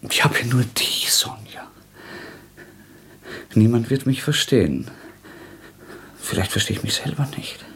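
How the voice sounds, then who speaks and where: A man's voice: medium pitch, lively intonation, unhurried, pauses often, a bit bright, whispered. An actor playing a tormented, defiant young man under suspicion in a German radio drama, tense, brooding and abrupt. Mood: anguished, confessing.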